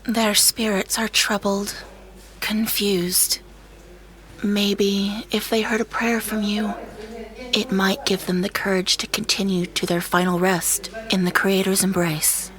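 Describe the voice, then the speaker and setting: A woman playing a solemn priestess who tends the dead in a fantasy audio drama, hushed and reverent. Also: mid-range voice, level tone, slow pace, rough recording. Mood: somber, reverent.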